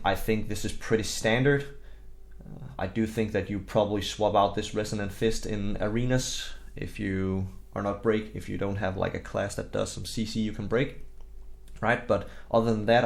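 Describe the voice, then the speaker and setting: Young Danish-accented male guide creator; lowish voice, laid-back, rambling delivery full of fillers. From Denmark. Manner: relaxed, rambling, hedging with fillers. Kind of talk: casual game guide voice-over.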